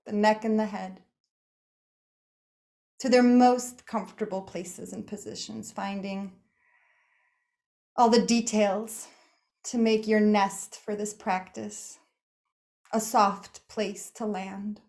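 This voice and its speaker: A woman leading a guided meditation in a soothing, unhurried manner, speaking in short phrases with pauses between them. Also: medium pitch, steady tone, darkish tone. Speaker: yoga nidra meditation guide.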